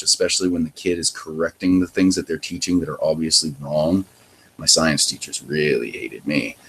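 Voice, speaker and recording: A very low, deep male voice with a husky grain, belonging to a young man from Maine. He recounts his own past in a loose, candid ramble. When a point matters to him, he gets heated and emphatic. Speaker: podcast host. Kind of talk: personal testimony monologue.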